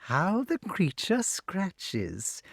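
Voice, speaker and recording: A storyteller voicing a gloating old witch, crooning with mock sweetness and menace in exclamatory, drawn-out words. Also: medium pitch, wildly swooping pitch, vocal fry, breathy edge, no echo, pitched above the performer's own voice, heavily acted. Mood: gloating relish.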